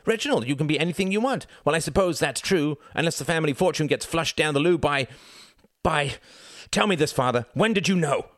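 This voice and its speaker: A man's voice: big pitch swings, moderate pace, a bit bright, dry recording, clean studio sound. A man reading as an arrogant, sneering upper-class young man, indignant and haughty. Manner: haughty, indignant, rising shrill.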